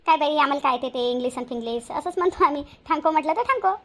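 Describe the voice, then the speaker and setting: A woman's voice: sits high, fast, a bit bright. A woman voicing a bossy, homely cartoon mother who nags her grown son in a Vidarbha Marathi accent. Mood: homely, coaxing. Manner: scolding, repetitive, homely. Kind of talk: homemade cartoon voice acting.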